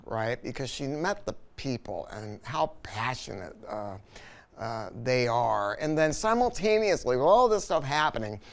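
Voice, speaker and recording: A man's voice: fairly high pitch, clean tone. A man giving an unscripted product review, telling stories in an emphatic, colloquial way with frequent rhetorical check-ins. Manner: emphatic, colloquial, storytelling with rhetorical tag questions. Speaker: product reviewer and audio dealer. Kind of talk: unscripted sales-style product review talk.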